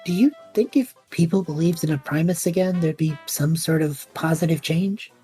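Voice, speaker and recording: A woman's voice: deep voice, moderate pace, warm tone, soft background music, close to an everyday voice. A woman playing a wry, reluctant young magic user in a fantasy audio drama, casual and self-deprecating in manner. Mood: candid.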